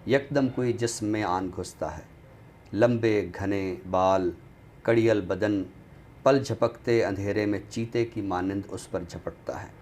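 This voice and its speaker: A man reciting poetry on a literary show in a deliberate, expressive way, returning to refrains. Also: fairly low pitch. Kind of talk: dramatic Urdu poetry recitation, then book talk. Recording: clearly noisy background ambience.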